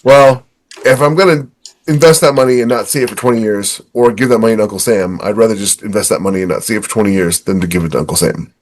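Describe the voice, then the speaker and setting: Man in his fifties, lowish voice. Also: steady tone, fast talker. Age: 50s. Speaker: podcast co-host. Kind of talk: casual chat giving financial advice.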